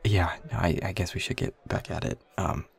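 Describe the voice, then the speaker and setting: A man acts out a shy young coworker in an intimate audio roleplay. His voice is low, very breathy and heavily creaky. He talks slowly and hesitantly, flustered and quick to put himself down. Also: heavily acted. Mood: awkward, hopeful. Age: young adult. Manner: hesitant, flustered, stammering, nervous chuckles. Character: shy, self-deprecating young man, new office coworker with a crush. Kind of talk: intimate audio roleplay, one side of a conversation.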